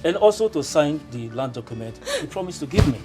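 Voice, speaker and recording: A man plays a stern, heartless uncle, talking fast and coldly waving away pleas. Guitar music plays clearly behind him. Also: a little high, very animated. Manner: cold, dismissive.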